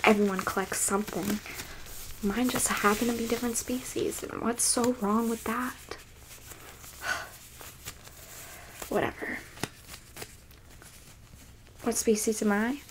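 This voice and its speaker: A woman playing a coolly clinical, smug and curious alien abductor in an ASMR roleplay, in a soft breathy whisper with a coyly condescending manner. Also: fairly high pitch, fairly even intonation, slow, pauses often, background noise. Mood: smug, defensive.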